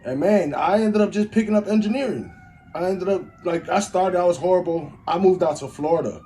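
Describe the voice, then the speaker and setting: A young man with a Chicago accent, a rapper and engineer, telling stories in a rambling, animated way as an interview guest. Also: high-pitched, a little fast, slight background noise. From Chicago, USA. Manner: rambling, animated storytelling with lots of "like". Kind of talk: casual storytelling interview.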